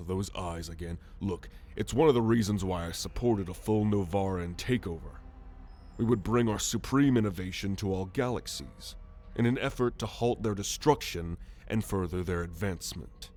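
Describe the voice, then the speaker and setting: Man acting as alien warrior fugitive, lowish pitch, haughty, sardonic, measured, ASMR roleplay, background ambient noise. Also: a little mellow. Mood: exasperated, sardonic, then haughty, explaining. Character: proud, gruff alien warrior, a renegade military fugitive. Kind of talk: ASMR roleplay monologue to the listener.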